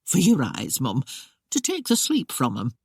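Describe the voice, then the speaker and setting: A narrator voicing a gentle but insistent older housekeeper woman in an audiobook, with rustic old-fashioned speech. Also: mid-range voice, huge pitch swings, a little slow, vocal fry, husky, dry recording, clean studio sound, full character voice.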